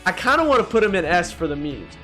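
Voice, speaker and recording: Slangy young man with a higher-pitched voice. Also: sing-song, fast talker, pushed and bright, soft background music. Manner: slangy, profane, opinionated, exclaiming. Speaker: gaming video host. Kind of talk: casual tier-list commentary.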